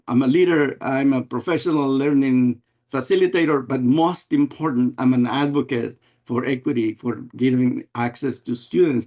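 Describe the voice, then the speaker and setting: An older man from America, giving an unhurried webinar presentation over a video call and often restarting his phrases. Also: mid-range voice, a little mellow. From Guatemala and El Salvador. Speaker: guest presenter, math educator.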